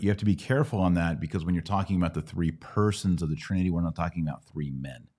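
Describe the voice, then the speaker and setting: Very deep-voiced American man hosting. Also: a little mellow. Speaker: podcast host. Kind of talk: live Bible Q&A, casual teaching.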